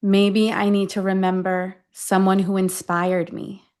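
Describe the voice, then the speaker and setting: A woman leading a guided affirmation meditation, speaking deliberately in short phrases with long pauses between them. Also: medium pitch, completely flat delivery, darkish tone, smooth. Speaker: guide of a self-affirmation tapping session.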